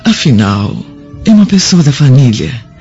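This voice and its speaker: An actress in a radio drama playing a pious, forgiving wife who pleads earnestly and reproves gently. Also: female voice, rumbling low, very animated, normal speed. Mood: gently reproving. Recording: muffled lo-fi radio drama with music.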